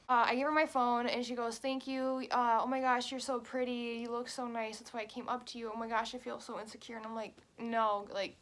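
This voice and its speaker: A young woman in her twenties tells a story to her camera, talking quickly with plenty of "like" and "you know". Her voice sits a little high and it stays casual and chatty. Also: fairly bright tone. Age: 20s. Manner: chatty, quick, many fillers. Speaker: vlogger. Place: at home.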